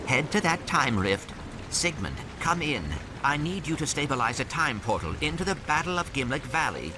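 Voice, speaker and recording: An actor playing a small, calm and polite male robot sidekick who speaks precisely. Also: on the high side, normal speed, noisy background. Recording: game audio over loud music.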